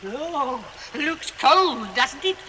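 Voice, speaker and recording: Female easygoing elf worker, slow high voice, noisy vintage radio drama. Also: expressive, pushed and bright, exaggerated voice. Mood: merry.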